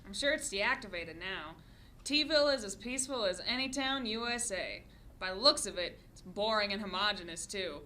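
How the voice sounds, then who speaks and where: A woman's voice: medium pitch, animated, normal speed, pushed and bright. A woman playing a cynical, bitter ex-superhero in her twenties, sarcastic and blunt, in a comedic table read. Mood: dry sarcasm.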